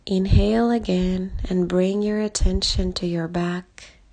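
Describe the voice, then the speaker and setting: A woman leading a guided body scan meditation in a calm, soothing way, speaking in short soft phrases with long pauses and a breathy edge. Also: mid-range voice. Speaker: meditation guide, life coach. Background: background music.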